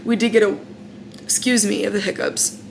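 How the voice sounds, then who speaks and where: A young woman with an American accent talks straight to the camera, chatty and frank, with lots of "like" fillers. Her voice is mid-pitched and carries a faint hiss behind it. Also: little pitch movement, moderate pace, gravelly. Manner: candid and chatty, many "like" fillers, frank opinions. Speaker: former singing contestant recounting her experience. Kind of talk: casual storytelling vlog.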